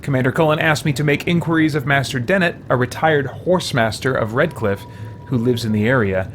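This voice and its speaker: A man's voice: medium pitch, a little fast, fairly bright tone, slight background noise. A man hosting a let's play, thinking aloud and reading game text as he goes.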